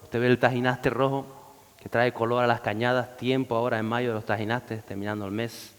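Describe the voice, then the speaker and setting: A male pastor preaches in a mid-pitched voice. He rises in force whenever he quotes scripture. His words ring out with a long hall reverb. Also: flat delivery, fairly quick, clean tone. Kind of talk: sermon.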